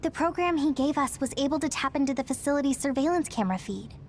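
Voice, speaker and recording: High-voiced, earnest young teammate. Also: female voice, lively intonation, fast, darkish tone, slight background noise. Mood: worried. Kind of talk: scripted video game cutscene acting.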